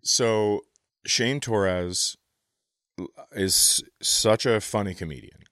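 Low-voiced man riffing crudely. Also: very slow, fairly bright tone, no room sound, studio quality. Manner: profane, riffing. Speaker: comedy podcast co-host. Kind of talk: crude comedic casual chat.

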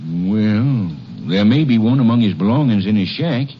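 An actor playing an anxious, hesitant mill superintendent in a 1950s radio drama. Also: male voice, fairly low pitch, animated, moderate pace, slight background noise, lightly acted. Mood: uneasy. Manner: hesitant, uneasy. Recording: muffled old radio broadcast.

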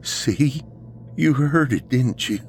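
A man's voice: mid-range voice, expressive, slow, soft background music, pitched above the performer's own voice. A man playing a distraught, paranoid friend in a horror story, speaking in an intense, insistent and pleading way. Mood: urgent pleading. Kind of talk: audiobook character dialogue, horror story.

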